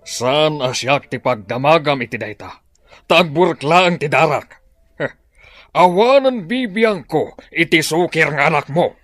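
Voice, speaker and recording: A man plays a stern drama father who has had enough of his child. His fairly high voice swings sharply as he scolds dismissively, in a dry recording. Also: animated, unhurried, a bit bright, dry recording. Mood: scornful.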